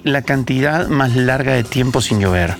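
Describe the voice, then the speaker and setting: A man's voice: medium pitch, lively intonation, moderate pace, gravelly. A man from El Salvador with a Salvadoran accent, hosting a casual talk show in an emphatic way, repeating phrases for stress. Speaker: host. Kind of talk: casual radio-style talk with co-hosts. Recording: music playing behind the voice. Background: background music.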